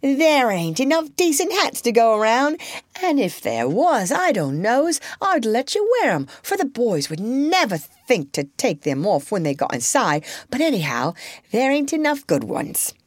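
A woman's voice: big pitch swings, a little slow, dry recording. Woman voicing a bossy proud country mother. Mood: instructing. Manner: scolding, high-pitched and emphatic. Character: bossy proud poor mother, rustic speech.

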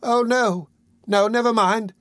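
Man putting on a high, melodramatic young woman. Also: fairly even intonation, slow pace, fairly bright tone, no room sound, clean studio sound, exaggerated voice. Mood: scolding. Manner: gushing, melodramatic lamenting. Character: hysterical, scheming young woman feigning sisterly distress.

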